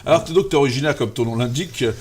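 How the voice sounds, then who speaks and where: A man's voice: mid-range voice, very fast, breathy edge. A man hosting an interview show, asking rambling questions with stammering repeats. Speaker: host.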